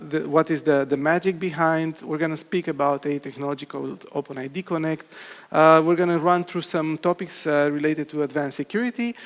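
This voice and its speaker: A man from Romania, a cloud solution architect, giving a conference talk with frequent ums and uhs. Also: a little high. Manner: hesitant, many filler sounds. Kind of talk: conference presentation. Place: conference hall with audience. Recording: long hall reverb.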